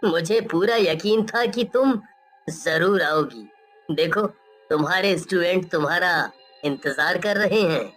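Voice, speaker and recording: A woman's voice: fairly low pitch, takes it slowly, a bit bright, faint music behind, voice pushed down. A woman voicing a friendly, persuasive young man who runs a dance school in a cartoon story, coaxing and admiring. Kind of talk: Hindi cartoon story acting.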